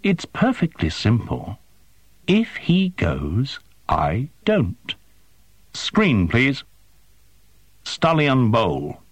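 Narrator as sardonic male artist: drawling, unhurried, stubborn, lively pitch, audiobook role. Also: breathy edge, dry recording, exaggerated voice. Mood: languid dismissal. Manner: drawling, dry, sarcastic. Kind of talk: audiobook character voice.

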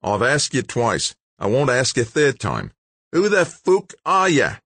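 A man's voice: mid-range voice, wildly swooping pitch, measured pace, a bit bright, no room sound, pitched above the performer's own voice, exaggerated voice. A man playing a huge, suspicious brute with a short fuse, growling and snarling profanely in an audiobook story. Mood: threatening.

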